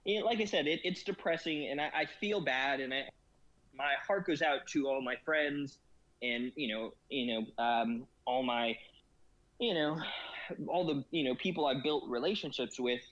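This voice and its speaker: A man's voice: mid-range voice, moderate pace, fairly bright tone. Rambling man on dull lo-fi audio. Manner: rambling, heavy on fillers, laughs often. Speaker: guest in gaming discussion. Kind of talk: casual chat.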